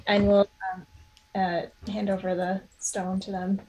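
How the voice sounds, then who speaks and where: A woman's voice: medium pitch, flat delivery, unhurried, a little mellow, rough recording. A woman talking casually as a player in a tabletop game.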